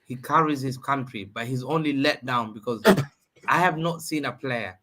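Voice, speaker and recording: A man's voice: medium pitch, moderate pace, fairly bright tone. A man, probably in his thirties, arguing emphatically as a guest in a heated football debate on a livestream, often repeating phrases for emphasis.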